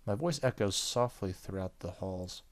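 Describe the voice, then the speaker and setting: A man's voice: sits low, moderate pace, gravelly. A man hosting a gaming video, reading story narration aloud and adding casual, joking asides. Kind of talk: let's play commentary, reading game narration aloud.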